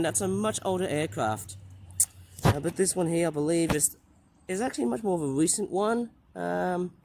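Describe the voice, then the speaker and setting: Croaky man with a flu. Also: on the high side. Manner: rambling, hesitant, filler-heavy. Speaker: plane-spotting vlogger. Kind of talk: casual running commentary. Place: outdoors by an airport runway.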